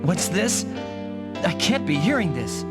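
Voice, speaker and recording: Whiny, high-voiced young rookie. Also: male voice, sing-song, a little slow. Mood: panicked. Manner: whiny, pleading, hesitant. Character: frightened, whining young rookie soldier, man. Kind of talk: anime-style video game voice acting. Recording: loud music under the dialogue.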